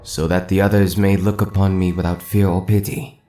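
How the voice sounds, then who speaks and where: A man's voice: deep voice, level tone, moderate pace, warm tone, close to an everyday voice. A man playing a loyal masked warrior bodyguard in a game fan dub, formal and intense. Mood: guarded, intense. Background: music.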